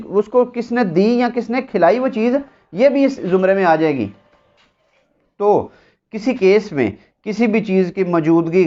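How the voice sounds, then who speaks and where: A speaker with a Pakistani accent giving an explanatory law lecture with examples, in a didactic, deliberate way. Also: medium pitch, darkish tone. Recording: dull, lo-fi audio.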